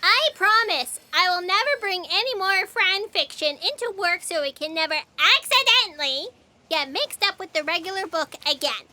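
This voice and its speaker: A woman's voice: very high voice, lively intonation, normal speed, pushed and bright, heavily acted. A woman playing an eager, insistent young office worker in a comic audio drama, with faint background noise. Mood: sheepish.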